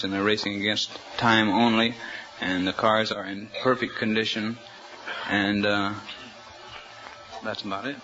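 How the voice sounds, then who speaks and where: A man's voice: lowish voice, sharp tone. A young American man answering as a radio quiz contestant in an earnest, plainspoken way. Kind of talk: unscripted answers to a radio host. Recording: muffled, noisy vintage radio recording. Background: studio audience.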